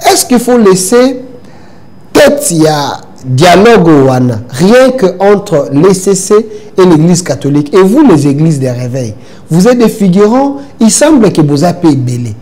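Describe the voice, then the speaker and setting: A man's voice: high voice, sing-song, measured pace, dark tone. A man hosting a talk show, congested from a head cold and pressing his guest with probing questions.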